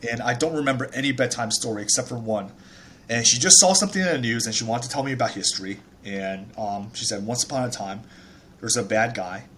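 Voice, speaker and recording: A man's voice: medium pitch, quick pace, fairly bright tone, slight background noise. A man in his thirties from Taiwan, a startup founder telling his life story in a casual interview, chatty with many fillers and restarts.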